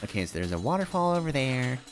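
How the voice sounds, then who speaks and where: A male streamer talks through his game in a casual, wandering way, playfully musing to himself. His mid-pitched voice moves around a lot in tone, with clear music playing behind it. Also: big pitch swings, quick pace. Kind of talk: casual rambling play-along commentary. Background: video game music.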